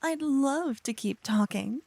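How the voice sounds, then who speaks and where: Woman acting a playful, slow-talking young paladin girl. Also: sits high, expressive, gravelly, full character voice. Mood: teasing, smug. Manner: teasing, theatrical, hushed in places. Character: adorable silly young female paladin, bossy, smitten, playful know-it-all. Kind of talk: ASMR audio roleplay.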